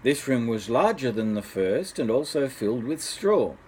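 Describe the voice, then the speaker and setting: An older man, a grandfather, narrating a children's bedtime story in an unhurried, expressive way. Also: medium pitch, slight background noise.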